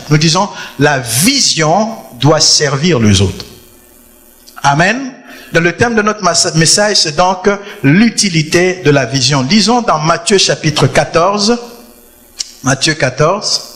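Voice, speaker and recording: A man preaches with a fairly high voice, hammering home his points and repeating phrases for weight. His voice rings out in a church hall with a long, trailing reverb. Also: lively intonation, measured pace, a bit bright. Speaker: pastor. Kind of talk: sermon.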